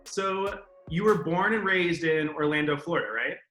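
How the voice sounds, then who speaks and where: Upbeat male host, bright voice. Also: on the high side, moderate pace, roomy sound, faint music behind, low-quality recording. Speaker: interview host. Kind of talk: interview host, scripted intro and questions.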